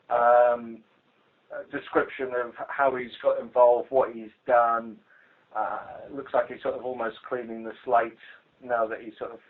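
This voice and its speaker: A British man giving an informal work briefing as a police investigator over a telephone line, speaking in a dry, blunt, hesitant way. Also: medium pitch. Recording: muffled phone conference call recording.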